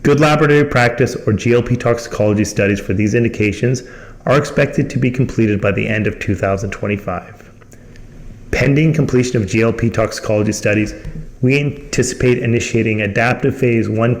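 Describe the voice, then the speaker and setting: A man's voice: medium pitch, brisk. A man reading a scripted company presentation in a formal manner as the host. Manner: formal, reading from prepared text.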